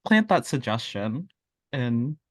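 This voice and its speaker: A man's voice: mid-range voice, big pitch swings, takes it slowly, no room sound, studio quality. A man playing a tabletop game, casually and tentatively talking through his character's choices. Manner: tentative, thinking aloud.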